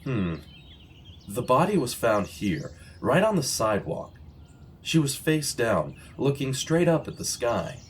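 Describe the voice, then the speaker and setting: An actor playing an earnest, righteous former journalist investigating a killing in a radio drama. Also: male voice, medium pitch, sing-song, unhurried. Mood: puzzled, brooding. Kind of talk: audio drama acting in vintage radio manner. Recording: music laid under the voice. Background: plucked guitar music.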